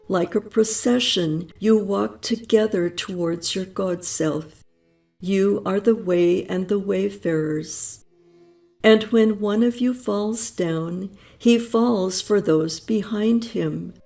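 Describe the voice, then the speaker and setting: A woman reads prose poetry with deliberate, unhurried solemnity and a gravelly edge to her voice. Her tone is low and mellow. Soft music plays faintly underneath. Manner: measured and reverent, with long pauses. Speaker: audiobook reader. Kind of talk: slow, solemn poetry reading.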